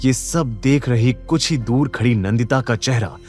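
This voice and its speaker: A man's voice: medium pitch, measured pace, darkish tone. A man narrating a scripted dramatic audio story as its narrator. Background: background music.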